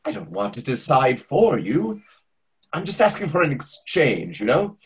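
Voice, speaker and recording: A man acts out a pompous, over-familiar restaurant waiter in a stage comedy. His voice is very husky and swings widely in pitch, on the lower side, as he lectures with theatrical condescension. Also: sing-song, a little slow, full character voice. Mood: condescending. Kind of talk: readers theatre comedy acting. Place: indoors on a video call. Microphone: video call microphone.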